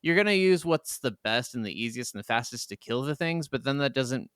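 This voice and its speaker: Guy cohosting a gaming podcast, clean smooth voice, no room echo, casual and into it. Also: mid-range voice, expressive, brisk, fairly bright tone, clean studio sound. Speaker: podcast co-host.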